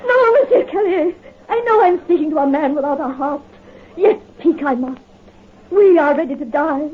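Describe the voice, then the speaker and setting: A woman's voice: high voice, lively intonation, normal speed. A woman playing a desperate aristocratic mother, pleading tearfully for her son in a 1930s radio drama, heard through a thin tinny recording.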